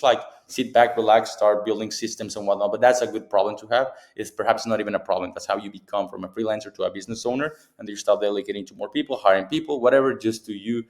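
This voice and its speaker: A man's voice: lowish voice, a little mellow. A young man from Mexico, a native Spanish speaker with a Mexican accent, casually explaining freelancing to camera, rambling and repeating himself with many fillers. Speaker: freelancing coach. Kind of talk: casual tutorial talk to camera. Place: abroad in Bali.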